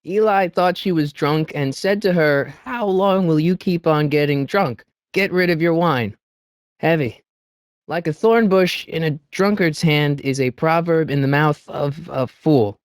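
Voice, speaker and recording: A man's voice: fairly high pitch, measured pace, no echo, studio quality, natural voice. A man playing an earnest, anxious American teenage boy in a video game, with a hesitant, stammering way of talking to himself. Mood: reading aloud, wry. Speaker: voice actor. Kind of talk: video game character voice acting.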